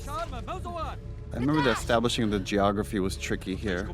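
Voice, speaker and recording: A man's voice: medium pitch, huge pitch swings, moderate pace, over music. A man giving unscripted behind-the-scenes commentary in a thoughtful, explanatory way, feeling out his phrasing. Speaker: game director giving commentary.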